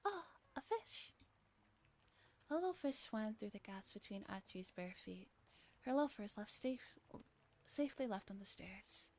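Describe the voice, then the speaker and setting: A woman reads a story aloud in a soft-spoken ASMR style, gently and with an occasional stumble. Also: medium pitch, moderate pace. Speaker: streamer reading a visual novel aloud.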